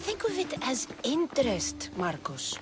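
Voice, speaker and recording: A woman's voice: sits high, huge pitch swings, unhurried, full character voice. A woman playing a tough, sardonic young mercenary in a video game cutscene, with a dry, blunt manner. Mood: firm, wry. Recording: music behind the voice.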